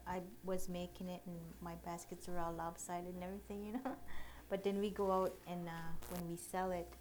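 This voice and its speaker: A woman in her late thirties speaks as an interviewee in a casual conversation. Her voice sits a little low for a woman. She is plain-spoken and halting, and keeps her answers short. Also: little pitch movement, darkish tone, poor audio. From Oneida. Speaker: interviewee, basket maker.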